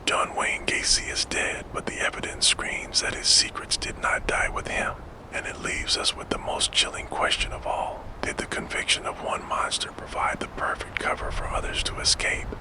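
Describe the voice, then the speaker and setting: A man narrating true crime in a soft, fully unvoiced ASMR whisper, slow and measured, close to the microphone. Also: rough recording.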